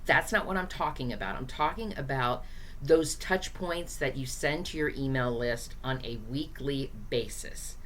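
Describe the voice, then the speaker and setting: Low-voiced hoarse woman teaching. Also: measured pace, slight background noise. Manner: chatty, direct, encouraging, with frequent fillers. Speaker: host, small business marketing coach. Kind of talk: casual teaching to camera.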